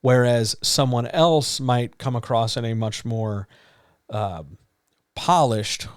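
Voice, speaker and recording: A man with a deeper voice coaching writers during a live stream. He talks loosely and casually, leaning on so, like and um as he explains. His voice sits at an ordinary pitch and sounds dry and close to the mic. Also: takes it slowly, clear, resonant tone, no room sound. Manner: loose, conversational, full of fillers like so, like and um. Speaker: writing coach.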